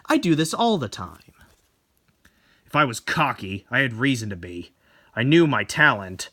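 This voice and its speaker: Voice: medium pitch, a bit bright, no echo, studio quality. A narrator performing a scripted first-person horror story as a dramatic reading.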